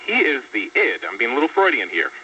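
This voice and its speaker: Fast, very sharp-voiced man giving sardonic commentary. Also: medium pitch, sing-song. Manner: articulate, incredulous, sardonic. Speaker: radio show guest commentator. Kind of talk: political commentary in a radio interview. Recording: dull, distant radio audio with faint hum.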